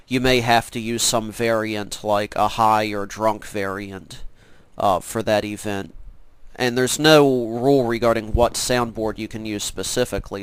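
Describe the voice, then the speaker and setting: A man's voice: fairly low pitch, clear, resonant tone, dry recording, poor audio. A congested man explaining his plans for an online event in a loose, rambling way, often restarting his sentences. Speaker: organizer of an online prank call event. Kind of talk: casual spoken explanation.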